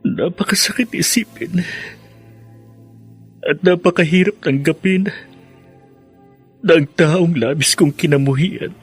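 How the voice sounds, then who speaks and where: Husky, anguished young son. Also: male voice, fairly high pitch, unhurried, long pauses, faint music behind. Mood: sorrowful, pleading. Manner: pleading, heavy with feeling. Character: anguished grown son, sorrowful and confused. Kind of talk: Filipino radio drama acting.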